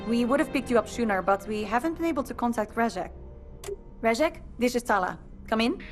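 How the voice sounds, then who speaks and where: A woman's voice: fairly high pitch, expressive, a little fast, close to an everyday voice. Woman as an earnest resistance leader, music behind. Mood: urgent. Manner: earnest, direct. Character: resolute adult female resistance leader, earnest and grave. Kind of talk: dramatic video game cutscene acting.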